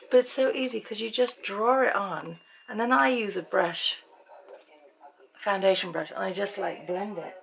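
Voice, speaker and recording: A woman in her fifties from Britain with an English accent, chatting to a handheld camera in a dim room at night. Her voice is croaky, and she rambles and laughs often. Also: mid-range voice, background noise. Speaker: vlogger. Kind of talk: casual chat to camera. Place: bedroom at night, dim lamp light. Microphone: handheld camera at arm's length.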